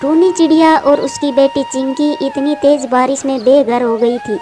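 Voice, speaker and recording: A woman's voice: high voice, fairly even intonation, normal speed, warm tone, faint music behind. A woman narrating a scripted children's moral story as a storyteller.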